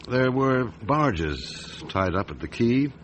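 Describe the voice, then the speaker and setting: A man playing a seasoned, gruff and methodical police chief inspector in a detective radio drama, speaking in a measured, deliberate, brooding way. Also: medium pitch, very animated, a little hiss or hum. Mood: businesslike. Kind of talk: radio drama acting and narration.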